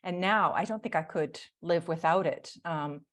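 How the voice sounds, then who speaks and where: A woman's voice: on the low side, normal speed. A middle-aged woman from Canada, an author chatting as the guest in a video call interview, talking with frequent ums and self-corrections. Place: indoor home room. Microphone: earphone headset on video call.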